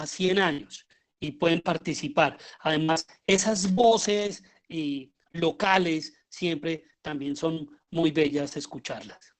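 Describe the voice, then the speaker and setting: A middle-aged man with a Colombian accent giving an informal lecture, often pausing and repeating himself as he searches for words. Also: fairly high pitch. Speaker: lecturer, radio drama director.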